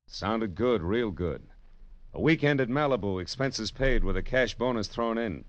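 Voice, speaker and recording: Actor as deep-voiced wry private eye, lively delivery. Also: male voice, normal speed, no room sound, dull audio, natural voice. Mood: wry, world-weary. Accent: American. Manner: sardonic, clipped, wisecracking. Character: wry, hard-boiled private detective, adult man. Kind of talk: first-person narration in 1940s radio detective drama. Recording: old radio broadcast, music behind.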